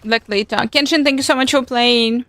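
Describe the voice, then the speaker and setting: A woman's voice: a little high, normal speed, fairly bright tone, no echo. A young woman from Moldova whose first language is Russian, chatting bluntly and swearing casually while she livestreams a game. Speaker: streamer. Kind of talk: casual live gaming stream chat.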